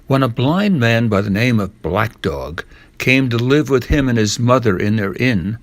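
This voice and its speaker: An older man narrating a storybook in a measured read-aloud manner, with the odd stumble. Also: mid-range voice, expressive, dry recording.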